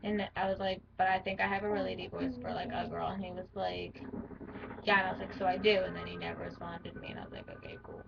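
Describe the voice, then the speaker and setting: A teenage girl with a deep voice for a girl, talking bluntly in a rambling casual vlog with lots of fillers. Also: little pitch movement, fast, vocal fry, recorded from a distance, highs cut off, heavy background noise. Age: teens. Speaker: vlogger.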